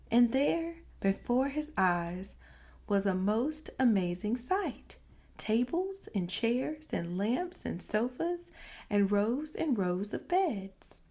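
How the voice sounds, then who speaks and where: A woman narrating a children's storybook expressively, with deliberate, dramatic phrasing for young listeners. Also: fairly high pitch, very animated, a little mellow. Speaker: children's read-aloud narrator and former teacher.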